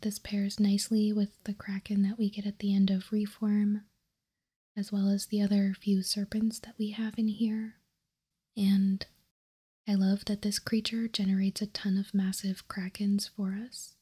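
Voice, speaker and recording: A woman whispering in a breathy, calming, measured way, as an ASMR host reading out and commenting on trading cards. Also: medium pitch. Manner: soft, slow, measured, calming. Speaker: host of a card ASMR channel.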